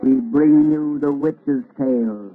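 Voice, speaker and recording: A thin, lo-fi vintage radio recording with music behind it. A male announcer speaks slowly in a fairly high voice, presenting the program in formal old-time radio style.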